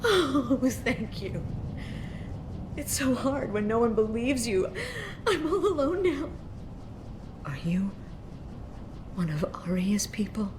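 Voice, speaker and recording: An actress playing a bereaved, lonely mother in a video game, plaintive and halting, close to tears. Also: a little high, animated, background noise. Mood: grieving, tearful. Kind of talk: video game dialogue acting.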